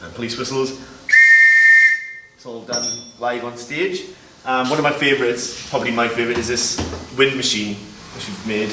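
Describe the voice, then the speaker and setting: A man giving an informal, explanatory demonstration as a Foley artist, pausing as he shows each item. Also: mid-range voice, steady tone. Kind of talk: informal demonstration of sound-effect props. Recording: echoey, distant mic, loud noise and clicks.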